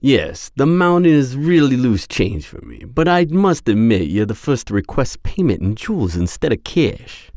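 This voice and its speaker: A man's voice: mid-range voice, sing-song, moderate pace, hardly any pauses, a little mellow, no room sound, clean studio sound. A man playing an imperious crime boss in an audio roleplay drama, smug, cold and businesslike. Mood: smug, disdainful.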